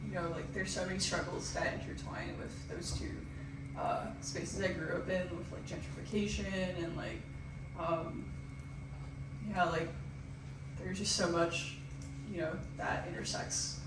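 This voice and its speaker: A woman's voice: on the low side, steady tone, measured pace. A woman from New York speaking on a photography panel, chatty and full of fillers. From Coney Island, New York. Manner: chatty, full of "like" and "you know". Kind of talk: panel discussion. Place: event room at a photography center. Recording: roomy room mic from far off, background noise.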